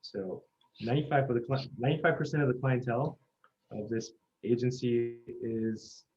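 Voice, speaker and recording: A man's voice: medium pitch, darkish tone. Earnest man in his thirties, muffled. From South Korea. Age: 30s. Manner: earnest, measured storytelling. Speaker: oral history interviewee. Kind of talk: reflective oral history interview. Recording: muffled lo-fi recording.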